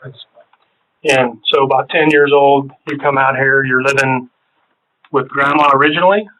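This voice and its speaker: A man's voice: fairly even intonation, moderate pace, bright, forceful tone, slight background noise. A man working as a police detective, questioning someone in a casual, friendly way in a police interview room. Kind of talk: interrogation small talk. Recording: tinny low-fidelity room recording.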